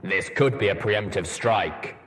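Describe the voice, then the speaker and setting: A man voicing a stern, analytical armored stallion soldier in a story reading, speaking deliberately. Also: lowish voice, fairly bright tone. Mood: grave, analytical. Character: grave armored male pony soldier, measured and analytical. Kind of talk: dramatised audiobook reading.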